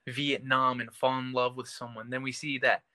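A man's voice: medium pitch, level tone, moderate pace, bright, forceful tone, dry recording, studio quality. A man co-hosting a podcast, chatting casually about a TV series. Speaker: podcast co-host.

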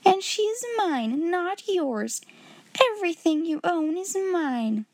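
A woman voicing a cold, domineering schoolmistress with a sharp, scolding manner, in a storybook reading. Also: high-pitched, measured pace, no echo, pitched above the performer's own voice. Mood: indignant.

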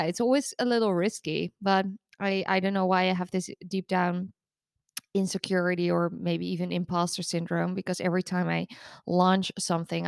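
A woman's voice: on the low side, vocal fry, clean tone, dry recording, studio quality. A woman in her thirties hosting her own podcast as a recovery coach, talking in a candid, chatty way while congested from the flu. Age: 30s. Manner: candid, chatty and self-deprecating. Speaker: podcast host and eating disorder recovery coach. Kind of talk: casual solo podcast monologue.

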